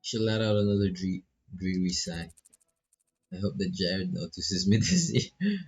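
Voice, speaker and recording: A man's voice: deep voice, lively intonation, unhurried, darkish tone, breathy edge, soft background music. A man doing laid-back, casual live commentary on a visual novel, reading text aloud and swearing freely as he reacts.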